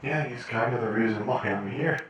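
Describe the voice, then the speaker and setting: A man's voice: medium pitch, a little fast, fairly bright tone, close to an everyday voice. A man playing a sullen, vengeful sci-fi prisoner in an improvised game roleplay, speaking in a blunt, understated way. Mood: bitter. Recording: very echoey, distant from the mic.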